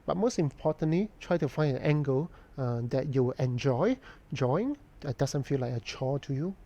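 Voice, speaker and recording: Mellow-voiced man. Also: mid-range voice, animated. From Hong Kong. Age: 40s. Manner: unhurried, thoughtful, pauses often with "um". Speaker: artist live-streaming a drawing session. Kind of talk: casual live-stream chat while drawing, answering viewer questions.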